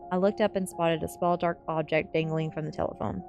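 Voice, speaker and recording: A woman's voice: on the low side, steady tone, fairly quick, vocal fry, muffled recording. A woman reading a story aloud in the manner of a storybook narrator, close to the microphone. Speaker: streamer reading a novel aloud. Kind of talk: storybook narration, ASMR-style read-aloud. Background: faint background music.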